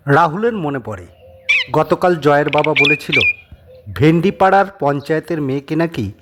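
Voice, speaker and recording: A man narrating a scripted suspense story as a dramatic audio storyteller. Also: on the high side. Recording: clearly noisy background.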